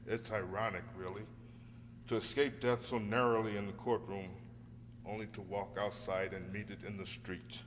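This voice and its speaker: A man's voice: lowish voice, steady tone, normal speed, lightly acted. A man playing a polite, earnest lawyer in an amateur radio drama, courteous and hesitant, baffled and disapproving. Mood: polite, subdued. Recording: reverberant hall, some noise and hum.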